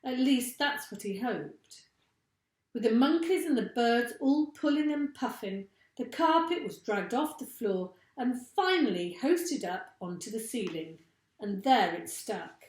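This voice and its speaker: A woman teacher reading a children's story aloud with expressive narration. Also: on the high side, measured pace. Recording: echoey room.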